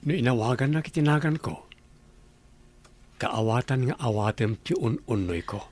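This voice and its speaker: A man's voice: mid-range voice, animated, normal speed, darkish tone, lightly acted. An actor playing an earnest male boss courting his employee in a Filipino radio drama. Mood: earnest wooing.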